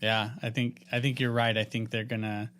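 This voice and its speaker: A man's voice: mid-range voice, little pitch movement, normal speed, fairly bright tone. A man co-hosting a podcast in casual chat, with a hesitant, noncommittal way of putting things. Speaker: podcast co-host.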